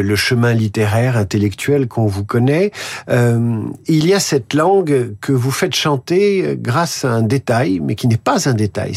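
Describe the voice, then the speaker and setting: A man's voice: mid-range voice, darkish tone, husky, no room sound, clean studio sound. A man hosting a radio morning show, asking formal, elaborate interview questions.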